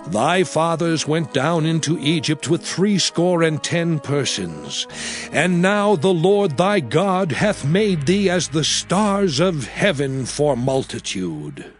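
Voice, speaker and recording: A man playing a solemn, commanding prophet in a dramatized scripture reading, speaking in a deliberate, oratorical way. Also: a little high, big pitch swings. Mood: exhorting. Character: solemn, commanding male prophet addressing his people. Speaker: Bible narrator. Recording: music bed behind the voice. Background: music.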